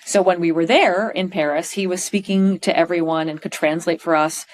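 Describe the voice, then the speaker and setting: A middle-aged woman from Pennsylvania, talking as a guest on a podcast. Her voice sits a little low, and she gushes with animated energy, stumbling over restarts and fillers as she tells her stories. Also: normal speed. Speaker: podcast guest, stage performer. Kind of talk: casual interview chat.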